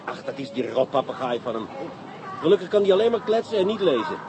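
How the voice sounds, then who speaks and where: Police inspector, Netherlands Dutch accent, high-pitched, commanding, dryly sarcastic, radio drama, noisy recording. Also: male voice, very animated, quick pace. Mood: brisk, wry. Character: brisk, wry, commanding male police inspector. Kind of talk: radio play acting.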